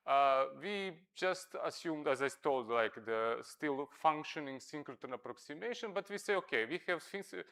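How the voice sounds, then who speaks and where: A man whose first language is Serbo-Croatian, giving a scientific conference talk, halting with many fillers and self-corrections. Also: medium pitch, fairly bright tone, clear, resonant tone. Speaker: conference speaker. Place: lecture hall at a conference.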